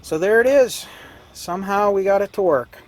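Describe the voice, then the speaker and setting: A man with a hoarse, fading voice, casually explaining an old radio repair at an electronics workbench, clearing his throat now and then. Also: high voice, normal speed. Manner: relaxed, talks while tinkering, frequent throat clearing. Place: cluttered electronics workbench indoors.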